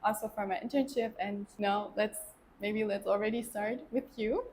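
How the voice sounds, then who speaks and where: A young German woman hosts a podcast interview in accented English. She searches for words and fills her questions with "like", speaking at an ordinary pitch. Faint background noise sits under her voice. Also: fairly even intonation, normal speed, a little mellow. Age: young adult. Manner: hesitant, full of "like" fillers. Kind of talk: casual interview hosting.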